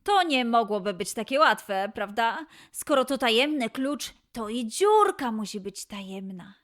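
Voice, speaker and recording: A woman narrating a scripted children's story in an expressive way, with dramatic pauses and emphasis. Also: on the high side.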